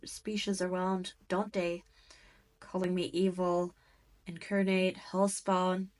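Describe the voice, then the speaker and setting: Slow, taunting demon queen, acted by a woman. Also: on the low side. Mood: gloating, mocking. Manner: teasing, condescending, drawn-out delivery. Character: cruel, arrogant adult female demon queen, mocking and possessive. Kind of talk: scripted roleplay audio drama, speaking to the listener.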